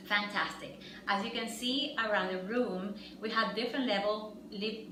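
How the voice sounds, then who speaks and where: A middle-aged woman from Ecuador, speaking English, coaches a room of new fitness instructors in an upbeat, encouraging way. Her voice comes from some distance off the mic and carries a roomy echo, with clear noise behind it. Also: mid-range voice. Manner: upbeat, encouraging, addresses the group directly. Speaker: fitness master trainer. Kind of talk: instructor training workshop. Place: room with trainees. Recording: echoey room, mic far off, noisy.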